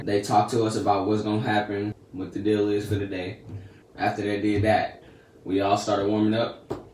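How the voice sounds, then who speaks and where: A man's voice: lowish voice, a little mellow, roomy sound, recorded from a distance, a little hiss or hum. A teenage boy telling a story to camera in a casual, slangy, chatty way. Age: teens. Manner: laid-back, slangy and chatty. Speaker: vlogger.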